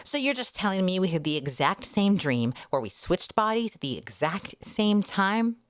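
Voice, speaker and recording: A woman's voice: medium pitch, very animated, normal speed, dry recording, studio quality, lightly acted. A woman voicing an earnest, goofy teenage boy in a storybook reading, slangy and pleading in an exaggerated way. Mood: incredulous.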